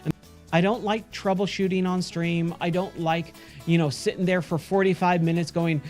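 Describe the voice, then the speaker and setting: Hoarse, somewhat high-voiced male streamer; chatty, rambling sign-off to viewers over soft music. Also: steady tone, normal speed. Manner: chatty, rambling, frequent self-corrections. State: hoarse, losing his voice. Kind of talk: casual end-of-stream chat with viewers.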